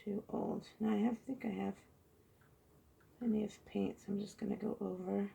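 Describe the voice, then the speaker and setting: A woman with a hoarse, froggy voice chatting softly as she pours and tilts acrylic paint, recorded on a phone mounted above her table. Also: mid-range voice, fairly even intonation, moderate pace, a little hiss or hum. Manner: soft-spoken, chatty, muttering while working. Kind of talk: casual narration while painting. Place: indoor craft table. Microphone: phone held high above the table.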